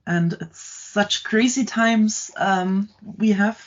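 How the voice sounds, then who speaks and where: Slow, halting middle-aged woman. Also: mid-range voice, fairly even intonation, dull audio. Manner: halting, many ums and restarts. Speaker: guest, content creator. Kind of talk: casual interview chat.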